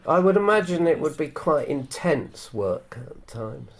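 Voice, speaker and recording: A man's voice: a little high, expressive, unhurried, a little hiss or hum. A man hosting a podcast and interviewing a guest, encouraging her with short affirmations. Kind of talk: friendly interview.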